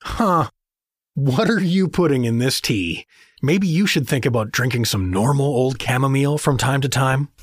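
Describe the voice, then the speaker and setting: An actor playing a bewildered, wisecracking average dad, flustered, hesitant and sarcastic, in a fantasy comedy audio drama. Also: male voice, mid-range voice, normal speed, breathy edge, dry recording, clean studio sound, close to an everyday voice. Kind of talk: audio fiction comedy acting.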